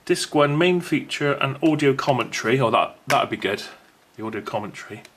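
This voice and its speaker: A man's voice: mid-range voice, animated, fairly quick, fairly bright tone, a little hiss or hum. A man presenting an unboxing video in a casual, unscripted way, chatty and rambling as he thinks aloud.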